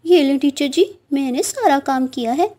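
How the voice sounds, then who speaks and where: Woman as lazy schoolboy bird, sly, cheeky, cartoon, high soft-toned voice. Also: lively intonation, normal speed. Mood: falsely innocent. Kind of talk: children's cartoon story acting.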